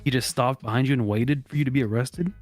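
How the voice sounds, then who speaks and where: Male advice-show host, mid-pitched, casual and mock-serious, swears freely, music in the background. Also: fast, a little mellow, no echo. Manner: profane, blunt, mock-serious. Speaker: host of a comedy advice segment. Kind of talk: casual, joking group chat and reading aloud.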